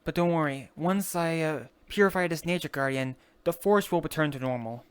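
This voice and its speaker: A roleplay player talking in character in an online game, halting and rambling as they explain things. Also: mid-range voice, clear, resonant tone, no room sound.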